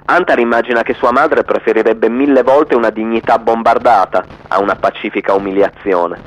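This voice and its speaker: A man's voice: medium pitch, steady tone, brisk, rough recording. A man reading literary narration dramatically as the narrator of a radio theatre piece.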